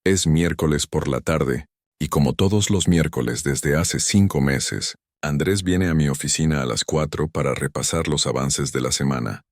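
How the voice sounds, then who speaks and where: A man narrating a dramatic first-person story in a measured, stern manner. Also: very deep voice, no room sound, clean studio sound.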